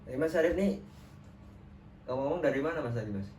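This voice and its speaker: A man's voice: mid-range voice, lively intonation, fast talker, a little mellow. A young man acting as a trainee counselor, chatting casually and friendly with lots of fillers. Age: young adult. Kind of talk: role-play counseling session. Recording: roomy, distant mic with clear background noise.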